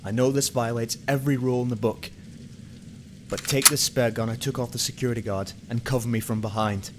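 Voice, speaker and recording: A man's voice: medium pitch, fairly even intonation, a little fast, very noisy, close to an everyday voice. A man playing a grim, determined police detective in an amateur radio play, earnest and hard-boiled in manner.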